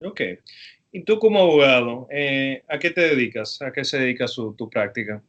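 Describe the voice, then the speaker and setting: A male professor leads a class interview over video. His voice is bright and forceful, and he stays friendly and measured, with frequent pauses and fillers as he moves between questions. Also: mid-range voice, animated, highs cut off.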